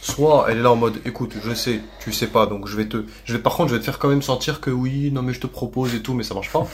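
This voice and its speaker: A man's voice: medium pitch, fairly even intonation, a little hiss or hum. A young man chatting casually on a podcast with friends, who often acts out what other people say. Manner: quick, laughing, acts out others' lines. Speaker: podcast co-host. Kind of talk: casual chat.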